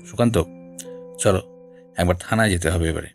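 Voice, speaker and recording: An actor playing a shrewd, persistent senior detective in an audio drama, questioning in a deliberate, probing way. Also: male voice, low voice, gravelly. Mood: determined. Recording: music bed behind voice.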